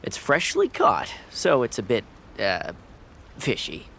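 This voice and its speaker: A man's voice: mid-range voice, wildly swooping pitch, slow, gravelly, very noisy, heavily acted. Humble, hesitant village fisherman. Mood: grateful. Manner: hesitant, earnest, folksy. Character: humble, grateful village fisherman, earnest and awkward. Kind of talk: anime game voice acting.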